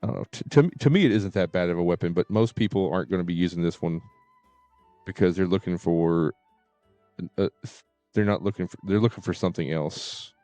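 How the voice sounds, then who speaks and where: A man talking casually as a game streamer, in a halting way with repeated and stuttered words. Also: deep voice, dark tone, no echo, faint music behind.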